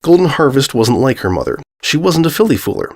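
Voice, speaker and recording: A man reading a scripted story aloud as an expressive audiobook narrator. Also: medium pitch, fast.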